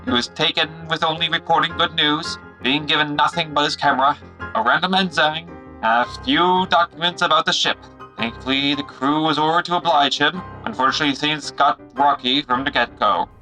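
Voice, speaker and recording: Theatrical male announcer, actor, very bright and forceful, slightly high, narrating an adventure serial over faint music. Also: fairly quick, rough recording. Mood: dramatic.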